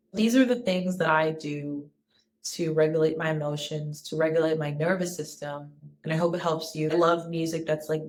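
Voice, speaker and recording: A woman talking to camera in a casual personal vlog, calm and earnest, with a soft voice she calls calming. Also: low-pitched, slight background noise. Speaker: wellness vlogger.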